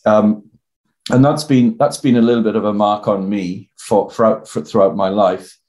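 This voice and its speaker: Older welsh guy, lowish voice, halting and reflective, lots of restarts. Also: fairly even intonation, a little mellow. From the South Wales Valleys. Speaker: guest. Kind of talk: reflective personal interview talk.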